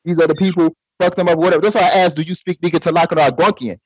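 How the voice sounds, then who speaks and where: A man's voice: on the high side, fairly even intonation, rapid-fire, slightly rough, no room sound, muffled recording. A man arguing combatively in a heated online debate, repeating himself and interrupting. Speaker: debate participant.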